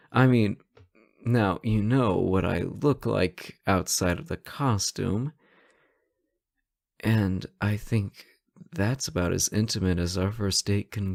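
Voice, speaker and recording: Young male superhero played by a man, romantic audio roleplay. Slow-paced, playful, bashful, close dry sound. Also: lowish voice, vocal fry, darkish tone, dry recording, heavily acted. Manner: playful, stammering when shy. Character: teasing, flustered male superhero smitten with his nemesis. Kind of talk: romantic roleplay audio acting, addressed to listener.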